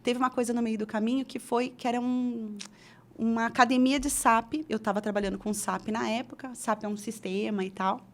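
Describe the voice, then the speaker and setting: A woman's voice: on the high side, fairly even intonation, brisk. A middle-aged woman from Brazil, an interview guest telling her career story in a chatty way with many restarts. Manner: chatty, frequent restarts and fillers.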